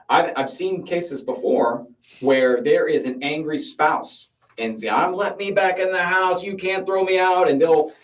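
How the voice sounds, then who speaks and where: Halting male investigator, far from the mic. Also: a little high. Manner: halting, repeats words while reasoning. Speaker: homicide investigator questioning a suspect. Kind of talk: police interrogation. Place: police interview room. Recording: distant interrogation room microphone.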